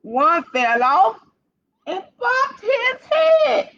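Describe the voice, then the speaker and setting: A woman hosting storytime for young children, reading aloud in an animated, sing-song way and putting questions straight to the kids. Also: very high voice, very slow. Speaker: library storytime host for children. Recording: dull, lo-fi livestream sound.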